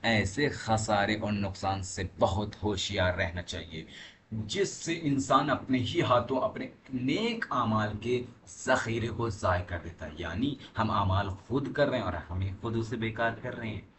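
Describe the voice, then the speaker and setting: Man doing a religious lesson, really slow n deliberate, emphatic teacher style, noisy recording. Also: lowish voice. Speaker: religious teacher reading and explaining narrations. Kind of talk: religious lesson, reading aloud with commentary. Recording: clearly noisy recording with background ambience.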